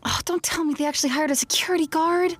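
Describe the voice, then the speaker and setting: A woman's voice: sits high, fairly even intonation, quick pace, fairly bright tone. A woman playing a wry, dreamy teenage girl in an audio drama. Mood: wry muttering.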